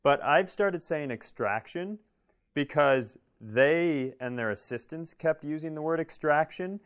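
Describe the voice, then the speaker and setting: A man's voice: on the high side, lively intonation, unhurried, smooth. Self-deprecating male podcast co-host. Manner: self-deprecating, dryly joking. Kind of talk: casual comic chat and storytelling.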